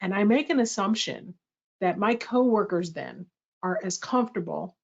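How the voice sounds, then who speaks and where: A middle-aged woman hosting a workshop, speaking in a thoughtful, reflective way and correcting herself as she goes. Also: medium pitch. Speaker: workshop host. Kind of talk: presentation dialogue. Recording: slightly muffled audio.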